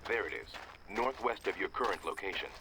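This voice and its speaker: A man playing a brisk, dry mission handler giving instructions in a video game. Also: low voice, very noisy. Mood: dry, instructive. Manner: businesslike, dry, urgent instructions.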